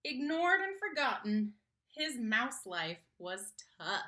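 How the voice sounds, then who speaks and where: Lady doing a kids read-aloud, slow and super expressive, high voice, very engaging. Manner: theatrical, engaging, asks listeners questions. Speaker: storyteller reading a picture book aloud to children.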